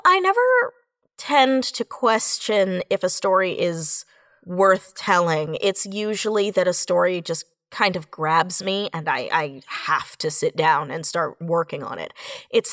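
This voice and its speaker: A woman's voice: lowish voice, slow pace, no echo, clean studio sound. A woman giving casual interview answers as an audio drama writer, animatedly acting out her own thoughts as she tells things. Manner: animated storytelling, acts out own thoughts in quotes.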